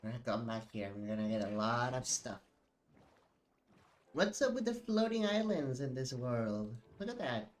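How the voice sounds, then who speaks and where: A man's voice: mid-range voice, big pitch swings, normal speed. A man live-streaming a video game from home, chatting in a rambling way and sounding sniffly from allergies. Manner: rambling and chatty, many "like"s and self-corrections. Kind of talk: casual live-stream chat while playing. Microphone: desk microphone.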